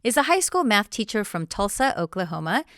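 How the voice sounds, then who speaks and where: A woman's voice: medium pitch, very animated, fairly quick, a bit bright. A woman hosting an education podcast, reading an upbeat introduction and chatting with guests in a theater venue. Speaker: podcast host. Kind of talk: scripted podcast intro then casual interview. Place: theater venue with crew setting up.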